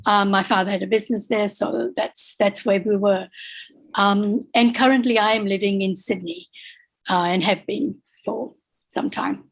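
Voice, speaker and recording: A woman in her sixties from Fiji, talking over a video call from her home, pausing often with ums as she gathers her thoughts. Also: medium pitch, steady tone, creaky, rough recording. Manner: thoughtful, many ums and restarts. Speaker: guest, author. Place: home room with bookshelf. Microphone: video call webcam.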